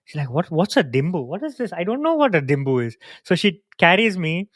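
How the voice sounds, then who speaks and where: A man from India in a relaxed, reflective podcast conversation, telling family stories. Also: sits high, brisk, dry recording, studio quality. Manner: reflective, storytelling. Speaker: podcast co-host. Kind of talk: casual conversation.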